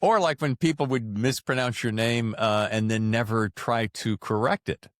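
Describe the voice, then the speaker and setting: A man hosting a casual interview, talking in an animated, rambling, jokey way. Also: mid-range voice, moderate pace, no room sound, studio quality. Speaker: podcast host interviewing an author.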